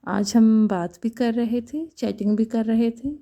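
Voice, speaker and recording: A woman voicing a persistent young male suitor in a romantic story, tentative and gently pleading. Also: a little high, fairly even intonation, a little slow.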